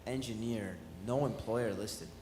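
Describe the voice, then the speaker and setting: A man's voice: on the low side, measured pace, a little mellow, natural voice. A man playing a deferential henchman to a scheming boss in a radio drama. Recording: echoey hall, distant, background noise.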